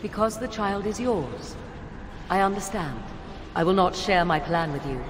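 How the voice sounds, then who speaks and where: A woman playing a proud, secretive witch in a video game, speaking in formal, archaic phrases with a haughty, measured manner. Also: fairly low pitch, lively intonation. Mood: guarded, defiant. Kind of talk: dramatic video game acting. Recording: long hall reverb, clear background noise.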